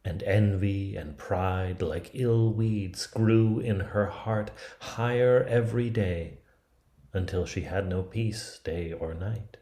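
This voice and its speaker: A man in his fifties reading a storybook aloud in a measured, expressive, dramatic way. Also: low voice. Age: 50s. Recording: roomy, echoing sound.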